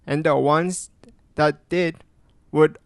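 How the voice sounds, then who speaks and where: A man from South Korea with a light Korean accent, narrating a personal story in a calm, earnest, conversational way. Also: fairly high pitch, slow pace, creaky, no room sound, studio quality. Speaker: animation storytime creator.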